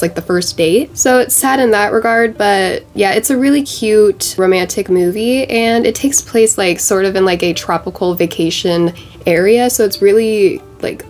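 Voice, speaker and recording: A woman's voice: mid-range voice, darkish tone. A young woman with a congested, stuffy voice from a cold, chatting casually as a beauty vlogger while doing her makeup, full of bubbly "like" fillers. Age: young adult.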